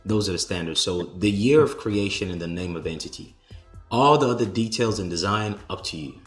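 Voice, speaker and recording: A man hosting a conference call, answering a caller's questions in a measured, explanatory way with some restarts. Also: low-pitched, expressive, roomy sound, soft background music.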